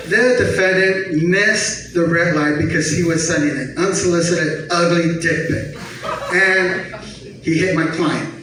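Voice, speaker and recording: A man performing stand-up, voicing a fierce, combative trial attorney with a forceful, dramatic, indignant delivery. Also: fairly high pitch. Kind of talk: stand-up comedy act-out. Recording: echoey noisy room, far from mic.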